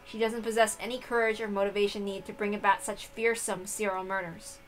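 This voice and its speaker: A woman reading a visual novel aloud, with expressive narration and casual asides. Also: medium pitch, a little fast, faint music behind, poor audio. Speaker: reader of a visual novel playthrough.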